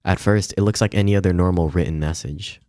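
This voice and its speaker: Deep-voiced man narrating a mystery story. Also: quick pace, mellow, no echo, clean studio sound. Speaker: streamer reading a visual novel aloud. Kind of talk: dramatic scripted narration.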